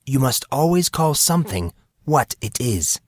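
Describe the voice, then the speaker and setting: A man's voice: mid-range voice, very animated, unhurried, a little mellow, no room sound, studio quality, voice pushed up. A man voicing a courteous, formal manservant who speaks in a polished, philosophical, lecturing way for an audiobook drama. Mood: earnest, instructive.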